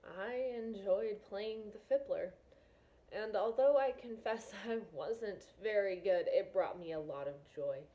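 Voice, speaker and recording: A woman reading the part of a reserved middle-aged king and father in a storybook, measured and reflective. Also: medium pitch, dull audio, close to an everyday voice. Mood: wistful.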